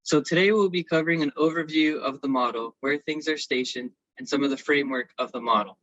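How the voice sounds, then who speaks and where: A man's voice: on the high side, brisk, fairly bright tone, no echo. A man giving a semi-scripted technical presentation as an engineering consultant, on a slightly dull recording.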